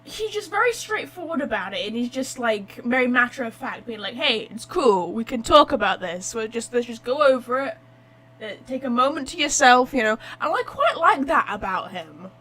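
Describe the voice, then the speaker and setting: A woman's voice: on the high side, big pitch swings, moderate pace, bright, forceful tone, low-quality recording. A woman giving chatty, informal live gameplay commentary, thinking aloud as she goes. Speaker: game streamer.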